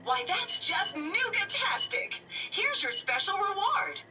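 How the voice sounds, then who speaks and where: A woman's voice: very high voice, very animated, slow pace, distant mic, very noisy, heavily acted. A woman voicing a bubbly female robot guide in a game, speaking through a tinny speaker. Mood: chipper. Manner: bubbly scripted sales cheer.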